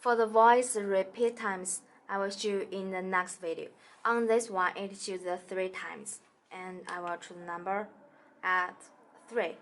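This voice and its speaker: A woman demonstrating a product in a small indoor studio, talking haltingly with many fillers and restarts. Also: mid-range voice, steady tone. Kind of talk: hesitant product tutorial.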